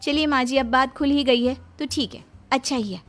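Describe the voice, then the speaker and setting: A woman's voice: high voice, brisk, fairly bright tone, soft background music. A woman playing a cheeky, stubborn young Indian daughter-in-law in a cartoon, with a pert, dramatic delivery. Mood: defiant. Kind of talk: Hindi cartoon story dubbing.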